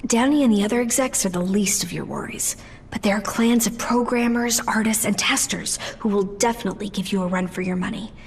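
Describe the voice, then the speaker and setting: An actress playing a confident, sharp-tongued ally in a video game, matter-of-fact and snarky. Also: female voice, medium pitch, fairly quick, slight background noise, close to an everyday voice. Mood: briefing. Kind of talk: video game cutscene acting.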